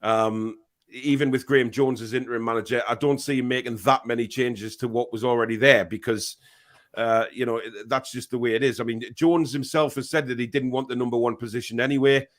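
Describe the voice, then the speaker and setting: A man, hosting a relaxed live football chat. His voice sits at a middling pitch, and he talks in a halting way, with fillers, repeated words and restarts. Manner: hesitant, many fillers and restarts. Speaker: podcast host. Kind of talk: casual live-stream chat.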